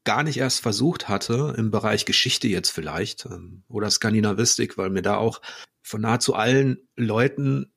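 A man in his forties hosting a podcast, asking questions in a relaxed, chatty way with frequent ums. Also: lowish voice, moderate pace, creaky, slightly rough. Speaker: podcast host. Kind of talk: casual interview conversation.

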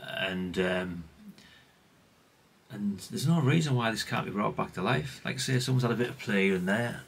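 Gravelly, low-voiced British man rambling casually. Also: normal speed, a little hiss or hum. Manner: rambling, chatty, plain-spoken, tag questions. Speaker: hobbyist radio repair vlogger. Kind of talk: casual unscripted workbench commentary.

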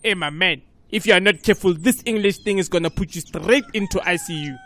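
Man voicing a high-voiced sarcastic South African cartoon sidekick. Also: fast, sharp tone, dry recording. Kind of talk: comedic cartoon dialogue.

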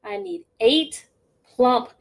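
A woman voicing a weary old farmer in a storybook, complaining in slow, drawn-out phrases. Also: sits high, lively intonation, voice pushed up, full character voice. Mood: exasperated.

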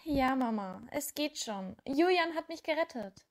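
A woman's voice: sits high, lively intonation, unhurried. A woman playing a brave, feisty little witch girl in an audio drama, urgent and emphatic. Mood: earnest.

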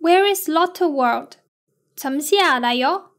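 High-pitched woman reader, extremely slow, evenly spaced lesson delivery. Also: animated. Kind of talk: slow reading of phrases and translations.

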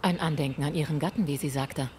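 An actress playing a composed, stern female ghost hunter in a video game, speaking formally, measured and direct. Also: deep voice, warm tone, natural voice. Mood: calm, probing. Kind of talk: video game dialogue acting. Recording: faint ambient noise.

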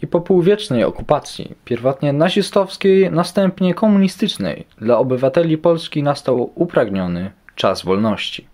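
Lively-pitched man reading scripted commentary. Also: moderate pace, hardly any pauses.

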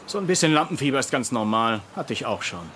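Sardonic doctor, wildly swinging intonation. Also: male voice, mid-range voice, big pitch swings, fast talker, a bit bright, a little hiss or hum. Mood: teasing. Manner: sarcastic, mocking, swinging delivery. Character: sardonic, teasing male hospital doctor. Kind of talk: German TV comedy dub acting.

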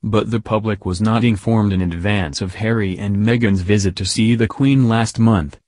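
A man narrating a scripted news piece in an even reading manner. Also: lowish voice, normal speed, no echo. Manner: even, reading quotes in the same voice.

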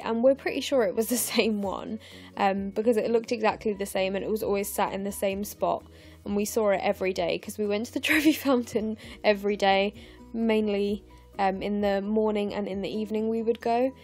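A young English woman in her twenties, narrating her scrapbooking in a chatty, rambling way with lots of fillers, her voice croaky and tired late at night. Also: medium pitch, a little mellow. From England. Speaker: scrapbooking vlogger. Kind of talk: casual voice-over narration of a craft process. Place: home, recorded late at night.

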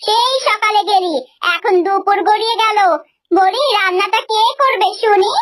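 A woman's voice: very high-pitched, fairly even intonation, moderate pace, background noise. A woman voicing a cruel, threatening village mother in a cartoon fairy tale, harsh and berating. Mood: angry scolding.

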